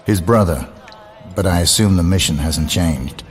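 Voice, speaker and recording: An actor playing a stoic hitman in a video game, speaking in a terse, deadpan manner. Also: low-pitched, normal speed, soft background music, lightly acted. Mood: cold, businesslike. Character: cold, stoic male professional assassin.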